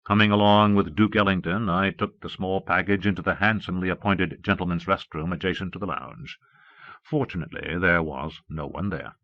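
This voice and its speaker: A man's voice: low voice, no room sound. A man reading a novel aloud as a scripted first-person audiobook narration. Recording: muffled old cassette audiobook.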